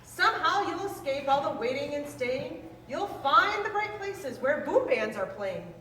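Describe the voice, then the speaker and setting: A woman reading a children's rhyming book aloud in a lively, sing-song way. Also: high-pitched, unhurried. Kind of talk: read-aloud storytelling. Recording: roomy, distant mic, faint noise.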